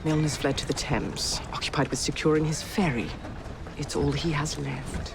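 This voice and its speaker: A sly, ruthless lady of Victorian business. Her voice sits low for a woman, silky and formal, in a game cutscene with music clearly behind it. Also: steady tone, moderate pace. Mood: smug, commanding. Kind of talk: video game cutscene acting.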